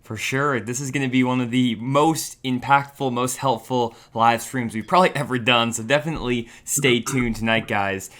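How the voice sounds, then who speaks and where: A young man hosting a fishing livestream, chatty and upbeat, talking straight to viewers, his voice a little raspy and tired. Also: medium pitch, fairly even intonation, moderate pace, hardly any pauses, fairly bright tone. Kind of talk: casual livestream talk.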